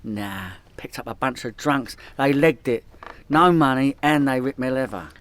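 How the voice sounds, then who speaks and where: An actor playing a boastful, hapless London petty criminal in a comedy radio play. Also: male voice, medium pitch, normal speed, fairly bright tone, slight background noise, lightly acted. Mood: bluffing. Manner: cocky, bluffing. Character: boastful small-time crook, a hapless cockney husband and father.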